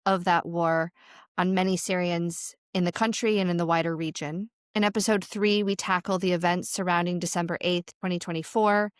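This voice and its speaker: Smooth low-voiced woman co-hosting. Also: steady tone, dry recording. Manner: measured, articulate, lays out the series plan. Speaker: podcast co-host, aid worker. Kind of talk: scripted podcast introduction.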